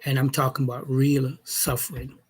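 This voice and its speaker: A man from Jamaica speaking as a commentator in an opinion monologue, slow and deliberate, posing challenging questions. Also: mid-range voice, flat delivery, husky.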